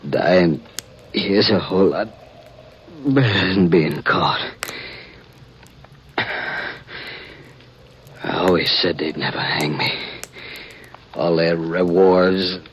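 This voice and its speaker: A man playing a wounded, boastful outlaw in a 1950s radio western, breathy and halting, with a rural American drawl. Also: low-pitched, slight background noise. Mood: weak, defiant. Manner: halting, laboured, folksy drawl. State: weak, gravely wounded, acted. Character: dying, boastful male outlaw, stubborn and desperate. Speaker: supporting actor in a radio western. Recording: dull vintage radio recording, music behind.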